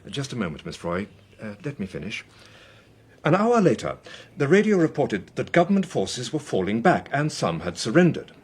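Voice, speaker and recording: An actor playing a British government official in a radio drama, methodical, courteous and authoritative. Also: male voice, mid-range voice, huge pitch swings, moderate pace, a little mellow, a little hiss or hum. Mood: methodical explaining. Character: measured, probing male British government official.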